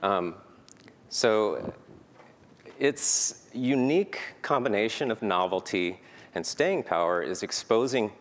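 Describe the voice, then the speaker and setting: A man's voice: fairly low pitch, expressive, slow. A man giving a prepared conference talk, earnest and peppered with "um" fillers. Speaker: conference speaker. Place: conference hall. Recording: reverberant hall, faint noise.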